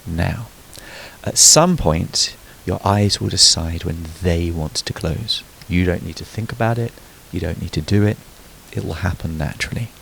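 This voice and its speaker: A man leading a guided sleep meditation, giving soothing, unhurried instructions with frequent pauses. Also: deep voice, creaky, a bit bright, slight background noise, poor audio. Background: background music.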